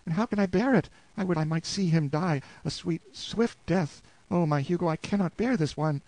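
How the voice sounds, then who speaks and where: Male narrator doing a young peasant wife, high and imploring, begging breathlessly. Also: moderate pace, a little mellow, no echo, lightly acted, poor audio. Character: desperate pleading peasant wife, young woman.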